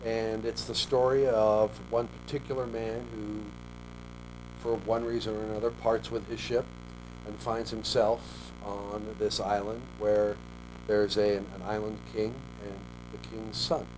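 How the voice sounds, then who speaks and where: A man in his forties with a lowish voice, from Australia, who chats in long, loose sentences and often starts over. A clear electrical hum runs under the studio recording. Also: a little slow. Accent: American English, faint Australian trace lost. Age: 40s. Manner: relaxed, talks in long loose sentences with restarts. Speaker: author guest. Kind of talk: casual TV interview chat.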